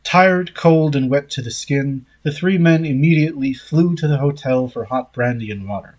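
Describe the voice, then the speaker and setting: A man reading a written essay aloud in a measured, scripted narration style. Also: medium pitch. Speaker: essay reader.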